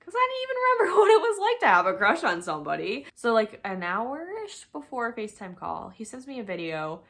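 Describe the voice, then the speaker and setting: A woman's voice: on the high side, wildly swooping pitch, fairly quick, few pauses, fairly bright tone. A young adult woman telling a personal story in a chatty, informal, self-deprecating way, slipping into bits of quoted dialogue. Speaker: storytime vlogger.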